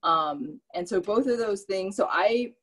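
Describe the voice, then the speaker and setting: A woman who grew up in the Philippines teaching a casual cooking class over a video call from her home kitchen, talking in a relaxed, rambling way with many fillers. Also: medium pitch, unhurried, rough recording. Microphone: laptop webcam on a video call.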